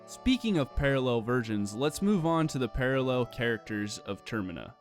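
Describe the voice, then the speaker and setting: A man reading a scripted video-essay narration in a measured, explanatory, argumentative manner. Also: mid-range voice, sing-song, soft background music.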